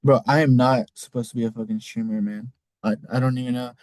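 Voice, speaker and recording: A man's voice: mid-range voice, fairly even intonation, quick pace, a little mellow, dry recording. A man with a Mexican accent hosting a casual league recap podcast, rambling loosely and cussing now and then. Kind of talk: casual solo recap talk.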